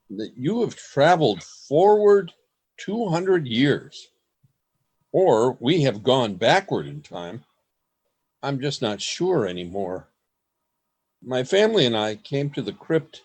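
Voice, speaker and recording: A man playing an earnest, bewildered grandfather in a radio play. He speaks slowly and deliberately, with a widely moving pitch. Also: darkish tone, slightly rough, full character voice.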